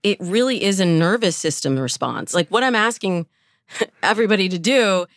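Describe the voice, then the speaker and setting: A woman's voice: medium pitch, lively intonation, normal speed, no echo, clean studio sound. A woman speaking as a dating coach and interview guest, explaining relationship advice conversationally with illustrative examples.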